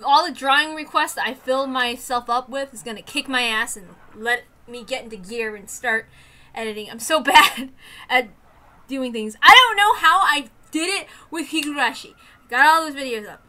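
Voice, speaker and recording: An excitable, animated woman hosting a read-aloud series, moving between casual rambling and reading a story. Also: high voice, moderate pace. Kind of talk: casual chat and visual novel read-aloud.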